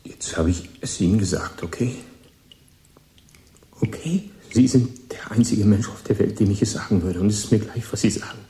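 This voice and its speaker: An actor playing a troubled, gentle sixteen-year-old boy who speaks haltingly and earnestly in a radio drama. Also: on the low side, lightly acted. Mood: agitated, desperate. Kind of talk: German radio play acting. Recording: echoing, roomy studio scene.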